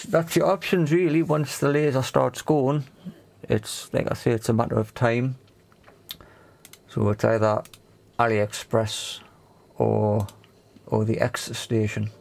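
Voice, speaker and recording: Guy with a lowish creaky voice talking thru a repair, kinda halting n matter of fact. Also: darkish tone. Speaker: repair video presenter. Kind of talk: casual hands-on tech repair explanation.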